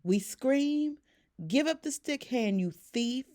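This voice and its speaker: Woman playing an excitable, scheming male plush toy. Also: high-pitched, takes it slowly, pitched above the performer's own voice. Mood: boastful.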